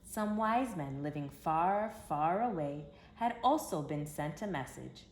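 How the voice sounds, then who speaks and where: A woman reading a children's story aloud in an expressive, emphatic storytelling manner. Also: deep voice, measured pace. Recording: roomy echo with background hiss.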